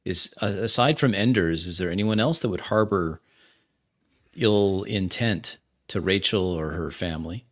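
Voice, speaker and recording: A man's voice: sits low, measured pace, fairly bright tone. A man voicing a polite, rational academic investigator in a tabletop game, formal, concerned and hesitant. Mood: courteous, probing.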